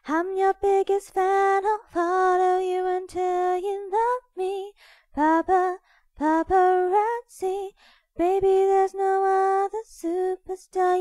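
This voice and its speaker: A woman's voice: extremely high pitch, darkish tone, dry recording, clean studio sound. A woman amateur singer casually singing songs a cappella from memory, without any backing. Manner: casual, informal, unaccompanied singing.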